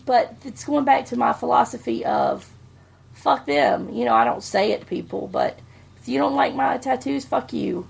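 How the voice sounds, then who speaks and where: A woman's voice: on the high side, animated, normal speed, gravelly, breathy edge. A middle-aged woman, chatting bluntly as a podcast co-host and swearing freely. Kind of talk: casual chat.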